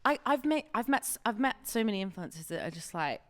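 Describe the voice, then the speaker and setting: A woman's voice: mid-range voice, very animated, quick pace. Woman podcast co-host, chatty and sweary, a bit sniffly with a cold. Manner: chatty, swears freely, laughs while talking. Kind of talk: casual chat.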